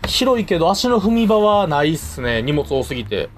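A man's voice: fairly high pitch, sing-song, a little fast. A man with a western Japanese accent co-hosting a radio podcast in casual banter, with a wry, joking, colloquial way of talking. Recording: background music under the talk.

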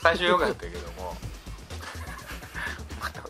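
A man's voice: low-pitched, very animated, measured pace, over music. A man hosting a casual comedic podcast chat, conversational. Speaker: podcast host.

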